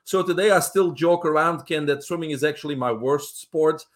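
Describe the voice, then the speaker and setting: A middle-aged man from Belgium with a Belgian accent, a guest telling his life story in an interview, relaxed and full of uh fillers. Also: a little high, moderate pace.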